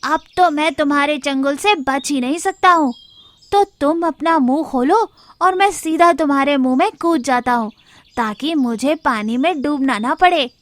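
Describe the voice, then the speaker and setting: A talking creature from an animal storybook tale, voiced by a woman. The voice is high and emphatic. It is theatrical, recorded close and dry. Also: normal speed, no room sound, natural voice. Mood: pleading. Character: animal fable creature, foolish crocodile or cunning deer. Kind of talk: animated animal fable dialogue.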